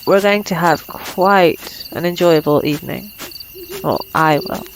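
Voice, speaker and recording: A woman's voice: fairly low pitch, unhurried, noisy background. Woman acting a teasing female vampire, night insects behind. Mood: playful, taunting. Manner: teasing, sardonic, taunting. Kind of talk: ASMR roleplay acting.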